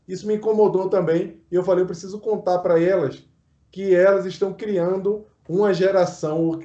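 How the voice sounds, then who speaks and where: Man, author guest, storytelling, dark mellow voice, echoey dull recording. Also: high-pitched. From Pernambuco, Brazil. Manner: storytelling, acts out quoted speech. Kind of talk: interview answer. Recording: dull roomy sound.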